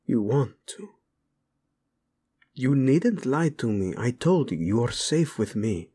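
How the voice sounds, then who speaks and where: A male voice actor playing a brooding young warlord prince who speaks softly and often drops to a whisper to his bride. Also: medium pitch, sing-song, takes it slowly, full character voice. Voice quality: husky, soft-spoken, often drops to a whisper. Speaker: audio roleplay voice actor. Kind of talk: audio roleplay acting.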